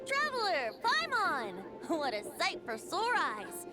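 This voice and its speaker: A woman's voice: very high-pitched, wildly swooping pitch, slow pace, heavily acted. A woman voicing a playful, carefree boyish bard who is a wind god in an anime video game, with a lilting, theatrical, poetic delivery. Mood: cheerful, teasing. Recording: game music behind the voice.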